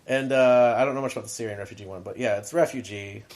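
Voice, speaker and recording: A man's voice: medium pitch, fast talker, a little mellow. Chatty man with a mid-range voice. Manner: rambling, chatty, full of "like" asides. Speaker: podcast co-host and middle school teacher. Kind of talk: casual podcast chat. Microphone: podcast microphone.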